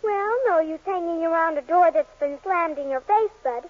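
Extremely high voice, brash wisecracking Cupid, slangy, brusque, muffled old radio advert. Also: normal speed. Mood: flip, brusque. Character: brash, wisecracking, dismissive Cupid in a toothpaste skit. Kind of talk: 1940s radio commercial acting. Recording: muffled 1940s radio recording.

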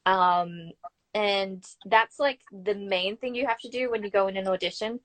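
A woman's voice: mid-range voice, fairly even intonation, normal speed, a bit bright. A young adult woman with an Australian accent, talking in an informal, anecdotal way as the guest in a casual interview. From Brisbane, Australia. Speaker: guest, actor and acting student.

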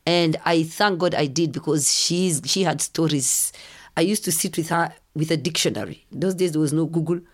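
A middle-aged woman from Kenya, telling lively stories as a podcast guest and acting out the voices of people she quotes. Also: low voice, normal speed. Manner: animated storyteller, acts out quoted voices. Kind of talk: casual storytelling interview.

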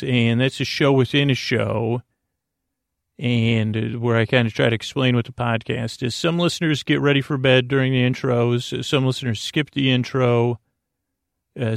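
A man hosting a bedtime podcast in a creaky, lulling voice, meandering through a halting monologue full of tangents and self-interruptions. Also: mid-range voice, dry recording, clean studio sound. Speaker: podcast host.

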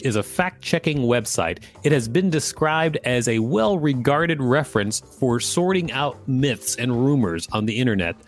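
A man co-hosting a podcast, reading an article aloud and adding casual commentary. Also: mid-range voice. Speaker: podcast co-host.

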